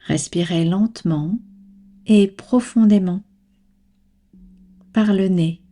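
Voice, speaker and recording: Slow, mellow woman guiding relaxation. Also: mid-range voice. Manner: calm, soothing, measured instructions with long pauses. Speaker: guided meditation instructor. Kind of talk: guided yoga nidra relaxation.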